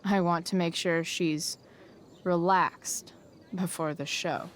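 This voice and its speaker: A woman's voice: on the low side, fairly even intonation, takes it slowly, distant mic, a little hiss or hum. A woman acting a sardonic, rebellious American teenage girl in a video game, with a dry, slangy delivery. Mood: guarded. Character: sardonic rebellious teenage girl, a punkish high-school dropout.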